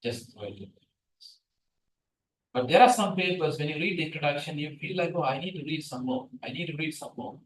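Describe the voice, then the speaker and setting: A middle-aged man whose first language is Tamil gives a guest lecture on scientific writing. He is animated and keeps asking the audience questions. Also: on the high side, fairly quick. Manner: animated, asks the room questions. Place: seminar room, hybrid session. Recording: echoey room from a distance.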